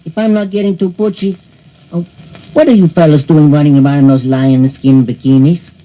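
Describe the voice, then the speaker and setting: A man voicing a naive, bumbling astronaut with deadpan, literal-minded humor in a 1960s comedy record. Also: a little high, moderate pace, highs cut off, lightly acted. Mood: earnest.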